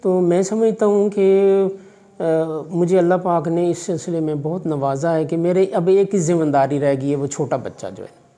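उर्दू लहजे वाला अधेड़ आदमी, नरम धीमी आवाज़. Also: male voice, on the high side, mellow. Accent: Pakistani Urdu. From Pakistan. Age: 50s. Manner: measured, fatherly, religious phrasing, hesitant fillers. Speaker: college vice principal. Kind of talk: interview answers. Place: office in a college. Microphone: far camera.

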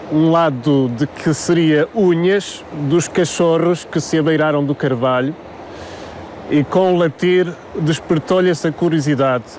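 A man, explaining the history of an old monastery outdoors, measured and full of hesitations. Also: a little high, darkish tone. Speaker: local guide. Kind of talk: explaining local history on camera. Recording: faint outdoor noise.

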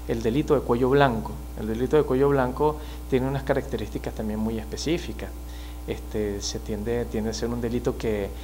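A man in his thirties from Venezuela, with a Venezuelan accent, speaking as a criminologist guest in a studio interview, measured and explanatory with frequent hesitations. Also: mid-range voice, darkish tone, slight background noise. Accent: Venezuelan Spanish. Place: small TV studio. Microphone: mic on desk stand.

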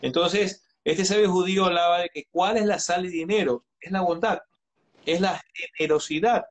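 A man in his thirties with a slightly high voice speaks earnestly as an interview guest. He gives advice in a calm, reflective way, often restarting his phrases. His voice comes through a webcam on a video call from his home. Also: moderate pace. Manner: earnest, advising, frequent restarts and hesitations. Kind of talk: earnest live-stream conversation.

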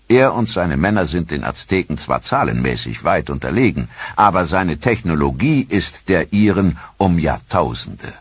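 A man narrating a documentary in a dramatic, expressive scripted manner with rhetorical questions. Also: low voice, slightly rough, no room sound.

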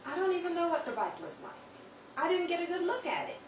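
A woman playing a timid young baby sister in an old-time radio drama, speaking in a meek, halting way. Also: very high voice, very animated, lo-fi sound. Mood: defensive. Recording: roomy, distant mic, clear noise.

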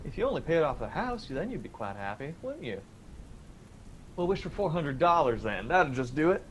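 A cheeky young man played by an actor as the scoffing son of a radio drama household. His voice sits a little high and he teases breezily, over slight background noise. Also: sing-song, normal speed. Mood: teasing, dismissive. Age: young adult. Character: cheeky, teasing, sceptical grown son. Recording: faint background noise and ambience.

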